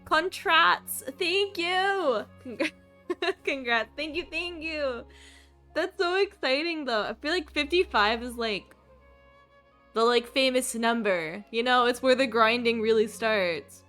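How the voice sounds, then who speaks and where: Female streamer, young, fairly high bright voice, chatty and informal, relaxed pacing. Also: slow pace. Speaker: game streamer. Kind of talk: casual livestream chat. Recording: game music behind. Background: game music.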